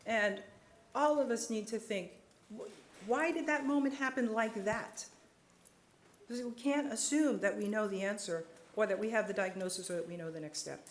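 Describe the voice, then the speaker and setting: A woman psychiatrist giving a lecture and answering audience questions, earnest and measured, slowing down for emphasis. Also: medium pitch, slight background noise. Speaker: psychiatrist speaker. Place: lecture hall. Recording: echoey lecture hall.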